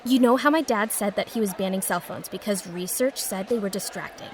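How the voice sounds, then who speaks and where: A woman's voice: mid-range voice, fairly quick, a faint crowd, a little hiss or hum, natural voice. A woman playing an exasperated, determined schoolgirl with an emphatic, sarcastic manner in an audio drama. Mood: determined, scheming. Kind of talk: scripted children's audio drama.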